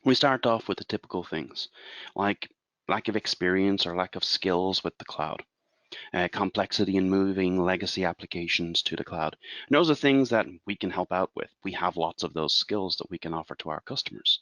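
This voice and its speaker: An Irish man in his forties presents a webinar as a consultant, explaining in a measured way and asking rhetorical questions. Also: low-pitched, a bit bright, dry recording. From Ireland.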